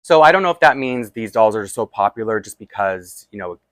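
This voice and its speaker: A man's voice: on the low side, animated, fairly quick, a bit bright, dry recording. A man with a Toronto accent chatting casually and self-deprecatingly while unboxing and dressing a doll, with rambling asides. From Toronto, Canada. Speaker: doll collector vlogger.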